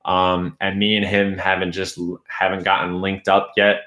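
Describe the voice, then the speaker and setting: A man's voice: sits low, completely flat delivery, moderate pace, sharp tone, echoey room. A young man chatting loosely on a live stream, reading out viewer comments and saying his voice is going. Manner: loose, rambling, reads chat aloud. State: losing his voice. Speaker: YouTube host. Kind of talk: casual live-stream chat.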